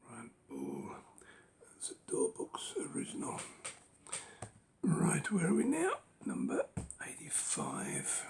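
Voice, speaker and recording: Hoarse man book collector, rough gravelly voice, unhurried half-whispered musing. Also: sits high, wildly swooping pitch, a little mellow. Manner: unhurried, musing, lots of ums and rights. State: losing his voice. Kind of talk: casual collection walkthrough.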